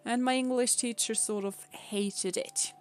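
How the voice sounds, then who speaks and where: A woman's voice: fairly high pitch, steady tone, unhurried, slight background noise. A young woman from Sweden with a Swedish accent, chatting casually with viewers on a live stream, laughing often and explaining things patiently.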